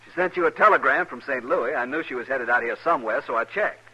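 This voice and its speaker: Radio western villain, rasping forceful voice, American accent, swooping pitch, curt, haughty, menacing. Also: male voice, expressive, quick pace, lo-fi sound, heavily acted. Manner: curt, threatening. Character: menacing, haughty jealous city man hunting a woman. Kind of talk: mid-century American radio western acting.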